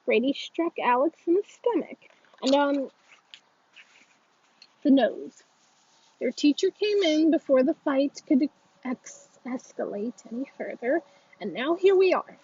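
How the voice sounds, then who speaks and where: A woman's voice: high-pitched, expressive, a little slow, long pauses, warm tone, muffled recording. A woman voicing a stern, formal female school principal with a firm, reproachful manner in a story reading. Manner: firm, authoritative.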